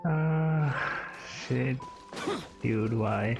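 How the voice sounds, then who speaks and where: A woman with a very deep voice gives casual reactions while gaming. The sound is dull, with game music clearly behind her. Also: extremely slow. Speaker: gamer playing and reacting. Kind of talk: casual gameplay commentary. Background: video game music and dialogue.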